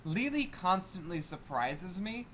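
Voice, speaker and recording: A man with a slightly high voice co-hosts a gaming podcast. He talks in a casual, conversational style over faint background noise. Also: big pitch swings, unhurried, a bit bright. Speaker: podcast co-host. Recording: faintly noisy amateur podcast recording.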